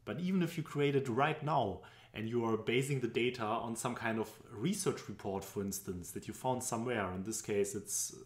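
A man's voice: medium pitch, normal speed. A young man from Germany with a German accent, explaining business presentation charts in a loose, tutorial style with frequent fillers, recorded on a webcam at his desk. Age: young adult. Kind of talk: screen-recorded tutorial narration. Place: indoor room at home. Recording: echoey room.

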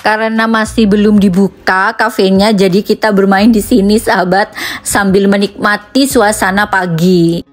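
A woman's voice: mid-range voice, fairly even intonation, moderate pace, soft background music. A woman narrating a camping travel vlog in a friendly scripted voice-over, speaking to her viewers as friends. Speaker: vlogger.

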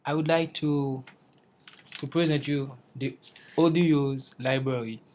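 A man's voice: mid-range voice, steady tone, slow, darkish tone, slight background noise. A young man from Haiti, an English learner, giving a careful class presentation over slides and restarting phrases as he searches for words. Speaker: English language student presenting.

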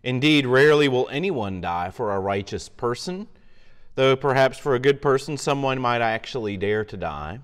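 A man's voice: mid-range voice, expressive, normal speed, clear, resonant tone. Man reading scripture in a reverberant church. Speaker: pastor. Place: church sanctuary. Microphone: lapel mic. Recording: reverberant sanctuary.

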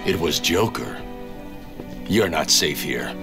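A brooding video game crimefighter. His voice is extremely deep and gravelly, his lines terse and stern. Heavy music sits behind him. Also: expressive, slow pace, exaggerated voice. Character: grim, brooding male masked vigilante detective. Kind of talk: video game hero acting.

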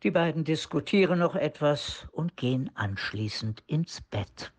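A man's voice: on the high side, expressive, measured pace. A man narrating a scripted audio drama as the storyteller.

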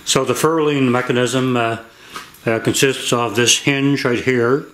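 An older man giving a hands-on how-to in a halting, deliberate, matter-of-fact way, recorded in a garage workshop. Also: mid-range voice, steady tone, a bit bright, slight background noise. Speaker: DIY demonstrator. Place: indoor garage workshop with hard floor. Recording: echoey garage room.

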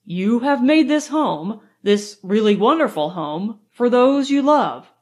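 A woman reading aloud, voicing a calm, firm male nerve doctor who lectures in a measured way. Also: a little high. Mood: lecturing.

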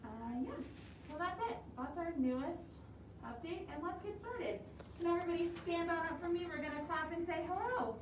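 A young woman leading a preschool storytime, giving animated, simple instructions to small children, her voice slightly muffled by a face mask. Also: very high-pitched, brisk. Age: young adult. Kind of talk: preschool storytime talk, songs and read-aloud. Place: indoor library room with large windows. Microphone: camera a few metres away. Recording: roomy, distant mic, clear background noise.

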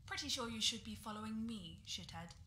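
A woman's voice: on the high side, expressive, a little fast. A woman voicing a sardonic, defiant assassin follower in a video game, curt and dismissive. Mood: annoyed. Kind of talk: video game character voice lines. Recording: quiet, echoey, noisy game audio.

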